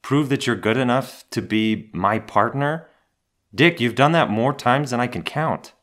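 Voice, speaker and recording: An actor playing a stern, brooding hero and mentor in an audio drama, serious and restrained. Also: male voice, medium pitch, a little slow, fairly bright tone, lightly acted. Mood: serious, concerned.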